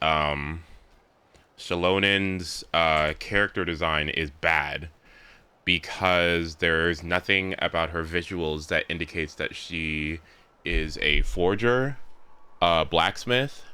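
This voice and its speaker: A man's voice: low-pitched, steady tone, slow, pushed and bright, smooth, dry recording. A young man chatting casually and rambling on a video game livestream. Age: young adult. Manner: rambling, informal. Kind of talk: casual live stream chat. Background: game music.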